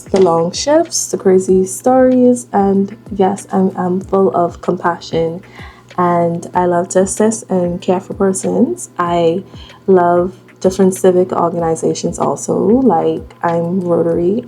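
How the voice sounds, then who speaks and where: A young Bahamian woman in her late twenties talks slowly and easily while she does her makeup. Her voice is gravelly and mellow. Soft music plays faintly behind her. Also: lowish voice. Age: 20s. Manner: slow, relaxed, chatty while doing makeup. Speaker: vlogger. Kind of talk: casual get-ready-with-me chat.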